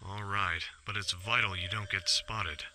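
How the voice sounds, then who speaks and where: A man playing an authoritative older military commander in a radio drama, giving firm, measured briefings. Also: deep voice, fairly even intonation, pushed and bright, a little hiss or hum, full character voice. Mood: concerned.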